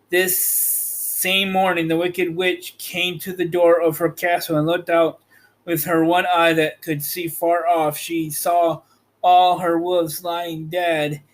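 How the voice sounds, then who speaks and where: Man, storybook narration, slow pace, somewhat high pitch, halting read-aloud delivery. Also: poor audio. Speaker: host reading an audiobook chapter.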